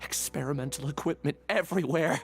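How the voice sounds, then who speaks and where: A man's voice: on the high side, fairly quick, gravelly. A man playing a frightened, agitated kidnapping victim in a video game, with an anxious, urgent delivery. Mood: distressed.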